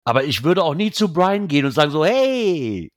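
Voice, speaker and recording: A man's voice: fairly high pitch, normal speed. A man from Germany hosting a casual chat podcast, rambling and correcting himself as he talks. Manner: rambling, self-correcting, animated when joking. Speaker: podcast host.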